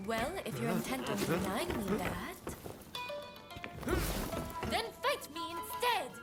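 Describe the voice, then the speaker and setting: Game cutscene: imperious, combative lady, unhurried and theatrical, over loud music. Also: fairly high pitch, wildly swooping pitch, exaggerated voice. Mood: challenging. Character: haughty, lovestruck, combative noble lady. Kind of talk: video game cutscene acting.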